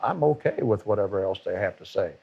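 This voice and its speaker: A man's voice: fairly low pitch, expressive, fast talker, gravelly, slightly rough, dull audio. A middle-aged man with a powerful voice, a football defensive coordinator talking casually on a podcast and stressing points by repeating words.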